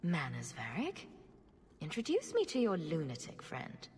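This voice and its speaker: A woman's voice: low-pitched, wildly swooping pitch, measured pace, darkish tone, breathy edge, long reverb tail, full character voice. An actress playing a sarcastic young woman rogue in a video game, with a dry, mocking, nonchalant manner. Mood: flippant.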